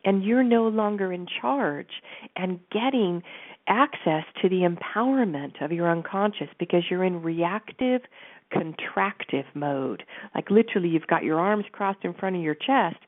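A woman with a stuffy nose, calmly guiding listeners through an exercise from her office and speaking directly to them. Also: fairly low pitch, measured pace. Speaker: host and coach. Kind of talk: guided teaching talk. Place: home office. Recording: narrow phone-like line.

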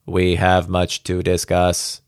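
A man voicing an old professor's disembodied mind speaking through a ship, flat, faint and faltering in slow fragments. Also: low voice, clean tone, no room sound, clean studio sound. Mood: detached, commanding. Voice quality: toneless, faint, mechanical.

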